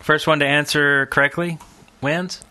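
Bright-voiced male podcast co-host. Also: fairly high pitch, steady tone, a little slow, clear, resonant tone. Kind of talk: casual banter.

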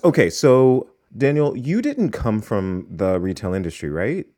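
Deep-voiced eager podcast host. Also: male voice, animated, measured pace, dark tone. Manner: eager, casual questioning with restarts. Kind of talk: interview.

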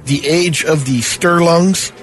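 A man's voice: on the high side, slow pace, soft background music. A man co-hosting a radio show, reading out news items amid casual banter.

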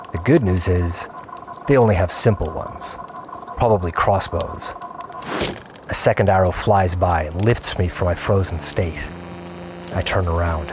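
A man's voice: deep voice, noisy background, close to an everyday voice. A young man playing a seasoned gamer, narrating his adventure in the first person in a conversational audio drama style. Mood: tense narration. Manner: conversational, reflective. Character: wary, seasoned male gamer recounting his virtual adventure. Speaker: narrator and lead character.

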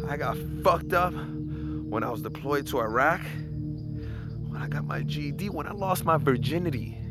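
An actor playing a cynical, resigned, hard-drinking war veteran around thirty, talking crudely and bluntly in audio drama style. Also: male voice, on the high side. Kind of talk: naturalistic audio drama acting. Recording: loud music behind the dialogue.

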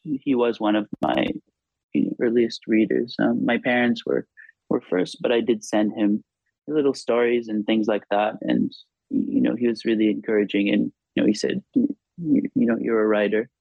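A man's voice: mid-range voice, gravelly, no echo. A man whose first language is Hebrew, an author talking reflectively in an interview with many restarts. The recording sounds dull and lo-fi. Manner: halting, thoughtful, frequent restarts.

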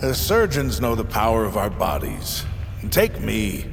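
An actor playing an old, kindly, jovial labourer in an audio drama, speaking in a theatrical, flattering manner. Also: male voice, lowish voice, measured pace, vocal fry, background noise, exaggerated voice. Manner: theatrical, grandly flattering, chuckling. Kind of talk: immersive audio drama acting. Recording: long reverb over loud music.

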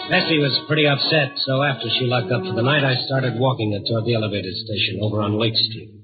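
A man's voice: mid-range voice, fairly even intonation, fast, lightly acted. An actor playing a tough, wry newspaperman who narrates in clipped slang in a 1950s radio noir style. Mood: wry, tense. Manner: wry, hard-boiled, clipped slangy talk. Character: tough, wry male newspaperman narrating his night adventure. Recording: muffled phone-like old radio recording with music.

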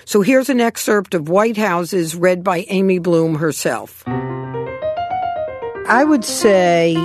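A woman's voice: lowish voice, animated, slow pace, over music. A woman hosting an interview podcast, presenting and asking thoughtful questions.

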